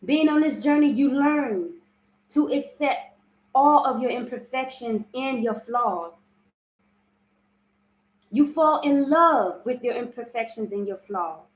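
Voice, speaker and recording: Slow, earnest woman with a big voice. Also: high-pitched, animated, echoey room, muffled recording. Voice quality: strong, big voice. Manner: earnest and repetitive, frequent "you understand?". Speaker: spiritual self-love host. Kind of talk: live-streamed motivational talk.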